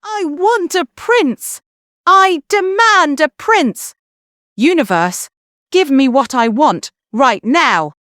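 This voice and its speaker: A woman voicing a greedy, bossy young princess who shouts her demands in a shrill voice. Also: lively intonation, slow, no echo, clean studio sound, heavily acted. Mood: furious.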